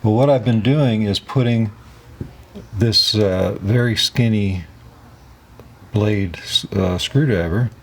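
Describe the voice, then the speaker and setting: A man narrating a hands-on tutorial as host, talking haltingly as he thinks aloud while he works. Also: lowish voice. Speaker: host of a DIY electronics repair channel.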